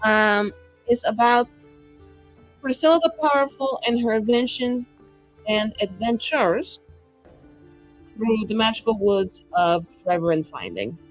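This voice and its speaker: A woman's voice: on the high side, animated, unhurried, long pauses, faint music behind, rough recording. A woman improvising casual, tentative and polite dialogue as a player in a tabletop roleplaying game.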